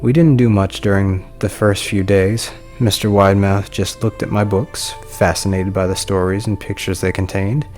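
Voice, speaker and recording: Low-voiced man narrating horror. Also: steady tone, moderate pace, few pauses. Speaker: narrator reading a horror story. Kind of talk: scripted narration. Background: soft music.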